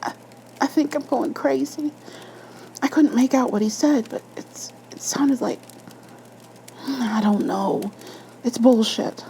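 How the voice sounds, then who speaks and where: A woman voicing a frightened, upset nineteen-year-old girl with halting speech in an audio horror story. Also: high voice, vocal fry, dark tone, a little hiss or hum, pitched above the performer's own voice, exaggerated voice, low-quality recording. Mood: shaken, halting.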